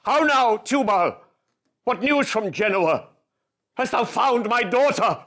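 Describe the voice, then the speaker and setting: A raging, grief-stricken old moneylender, played by a man in a one-man stage show, bellowing anguished verse. His voice climbs high and swings wildly as he declaims. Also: lively intonation, takes it slowly, fairly bright tone, full character voice.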